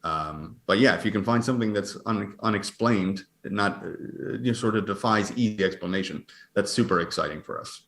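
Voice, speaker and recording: A man answering interview questions conversationally as an astronomer, in a hesitant, halting, hedging manner. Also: fairly low pitch, a bit bright. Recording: roomy, echoing sound.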